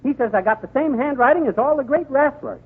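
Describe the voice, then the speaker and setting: A man playing a blustering, boastful husband with a husky voice in an old radio comedy. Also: very high-pitched, quick pace. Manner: blustering, animated. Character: blustering, boastful husband, easily carried away. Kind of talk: 1930s radio comedy acting. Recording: tinny 1939 radio broadcast recording.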